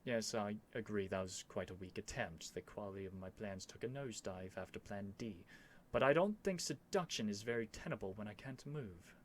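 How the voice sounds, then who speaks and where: A man's voice: lowish voice, lively intonation, normal speed, a little hiss or hum, natural voice. A man playing a stubborn, flustered young prince with haughty, theatrical phrasing in an ASMR roleplay. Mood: exasperated, scheming.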